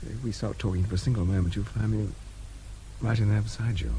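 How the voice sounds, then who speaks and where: Man playing low-voiced, war-weary army captain. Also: steady tone, fast, mellow, close to an everyday voice. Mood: musing. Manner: dry, self-deprecating, clipped. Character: war-weary, wry British army intelligence captain, frank about fear. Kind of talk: radio drama acting. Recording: radio drama with faint background noise.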